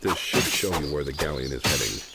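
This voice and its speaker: A man playing a gruff, commanding rebel leader in a video game, with a measured, authoritative delivery and a deep gravelly voice. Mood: businesslike. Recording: loud insect and ambience noise behind.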